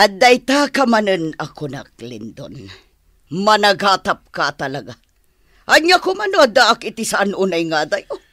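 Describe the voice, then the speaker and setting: A scheming, defiant woman of the underworld in a radio drama. She taunts slowly and theatrically, with hugely varied pitch, in a dry close studio sound. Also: huge pitch swings, a bit bright, no room sound. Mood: mocking. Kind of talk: Ilocano radio drama acting.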